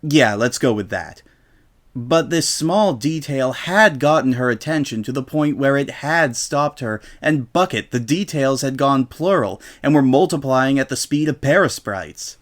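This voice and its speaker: A man with a mid-range voice narrates a comedic story aloud. He delivers it as a dramatic reading, in the role of storyteller. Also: medium pitch.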